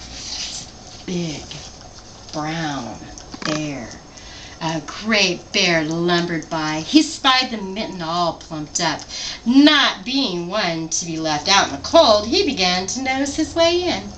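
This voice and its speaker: A woman reading a children's picture book aloud expressively, pausing to put simple questions to her listeners. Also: medium pitch, a bit bright. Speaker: storybook reader. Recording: distant mic, faint background noise.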